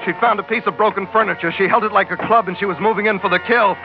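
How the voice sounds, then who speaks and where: A man's voice: high-pitched, fairly even intonation, fast talker, creaky. A man playing a tough American sea captain narrating a 1940s radio adventure in clipped, hard-boiled, dramatic fashion. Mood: urgent, intense. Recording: muffled old radio broadcast with music.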